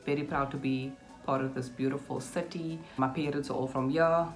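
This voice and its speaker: Low-voiced South African woman, chatty vlogger. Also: fairly even intonation, normal speed. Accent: South African, Cape Town. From Cape Town, South Africa. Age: 30s. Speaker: YouTube vlogger. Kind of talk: casual chat to camera, question-and-answer. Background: background music.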